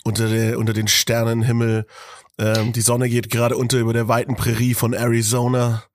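A man's voice: mid-range voice, completely flat delivery, brisk, dry recording, clean studio sound. A man co-hosting a podcast in casual chat, joking playfully with lots of fillers, a little under the weather with a cold. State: slightly ill with a cold. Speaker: podcast co-host.

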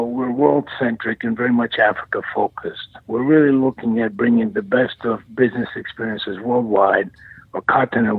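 A man in his sixties, originally from the former Yugoslavia, answering radio interview questions in a measured way with frequent fillers and restarts. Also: mid-range voice, breathy edge, no echo. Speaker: guest, nonprofit chief executive. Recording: tinny dry phone line.

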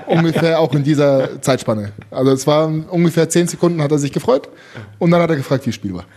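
A man's voice: a little high, brisk, long pauses, mellow. A young man from the former Soviet Union, a handball player chatting on a talk show. He tells his stories at length in an easy, self-mocking way and laughs at himself a lot. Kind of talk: casual talk-show chat.

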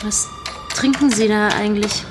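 A woman's voice: medium pitch, fairly even intonation, normal speed, over music, close to an everyday voice. An actress playing a young undercover policewoman in a fiction podcast, anxious, informal and insistent. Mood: restless, annoyed. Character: young female undercover investigator, anxious, impatient, pleading. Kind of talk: naturalistic fiction podcast acting in German. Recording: room recording with clear background noise.

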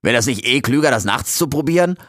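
A man's voice: medium pitch, animated, fast talker, a bit bright, no echo, clean studio sound, pitched above the performer's own voice, natural voice. A man voicing a cheeky male raccoon intern who grumbles in street slang. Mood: defiant.

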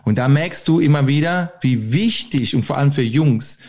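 Gravelly man rambling in a dialect. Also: mid-range voice, lively intonation, normal speed, a little mellow. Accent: German dialect. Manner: rambling, many restarts, laughs often. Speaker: podcast guest, author. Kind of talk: casual podcast conversation.

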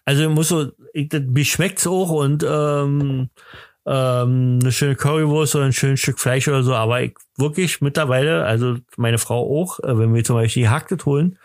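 A man's voice: medium pitch, no room sound, studio quality. A man, perhaps middle-aged, hosting a casual podcast chat in a hesitant, rambling, tongue-in-cheek way. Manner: hesitant, rambling, halting delivery. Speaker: podcast host.

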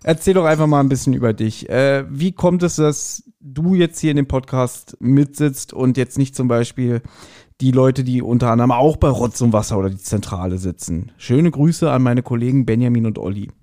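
A man's voice: mid-range voice, moderate pace, warm tone. A man hosting a podcast in a casual, chatty and self-ironic manner. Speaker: podcast host.

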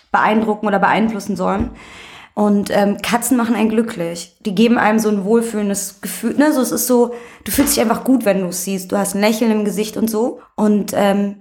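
A woman from Berlin talks casually as an interview guest. Her voice is of average pitch. She tells personal stories in colloquial, candid language, as in a chat between acquaintances. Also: darkish tone. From Berlin, Germany. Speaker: interview guest, music promoter.

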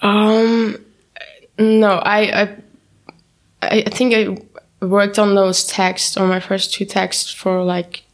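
A young woman from Turkey, raised in Austria, talks about her writing as a podcast guest. Her voice sits at an ordinary middle pitch, and she chats loosely, laughing often and acting out what she said to herself. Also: little pitch movement, slow pace, pauses often. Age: young adult. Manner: chatty, laughs often, restarts and quotes herself. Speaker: guest, poetry slammer. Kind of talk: casual interview chat.

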